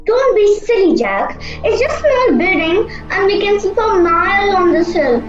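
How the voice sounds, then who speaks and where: Very high-pitched bossy young sister played by a woman. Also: expressive, music in the background. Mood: scolding, insistent. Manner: brisk, scolding, practical. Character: sensible, bossy young sister lost in a forest. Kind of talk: amateur radio play acting. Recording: muffled, echoey room recording.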